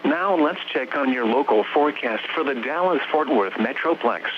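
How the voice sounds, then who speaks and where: A man's voice: mid-range voice, expressive, moderate pace. A synthetic American man's voice comes through a dull, humming weather radio broadcast. He reads scripted warning bulletins formally and evenly paced, in clipped, automated phrases, with faint noise underneath. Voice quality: synthetic text-to-speech voice.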